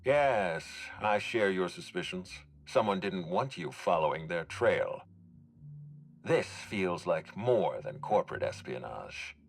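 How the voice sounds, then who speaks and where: A stiff polite game exec, real low voice, swings around a lot, dry and guarded. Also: male voice, very animated, vocal fry, a little hiss or hum, full character voice. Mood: grave, suspicious. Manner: measured, courteous, formal, dryly stern. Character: formal, guarded male executive overseeing hotel and orchards. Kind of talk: dramatic video game dialogue.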